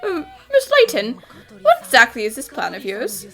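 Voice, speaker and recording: A woman's voice: high voice, normal speed, soft background music, full character voice. A woman voicing an earnest, anxious and polite teenage boy assistant in a cartoon dub. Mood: flustered, polite. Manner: polite, excitable, flustered. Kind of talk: animated series fan dub.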